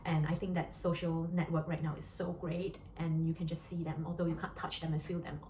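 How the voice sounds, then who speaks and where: A woman's voice: low-pitched, flat delivery, brisk, dark tone. A young woman with a Malaysian accent, a doctor talking earnestly in a casual vlog, with many fillers and restarts. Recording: echoey room with faint noise.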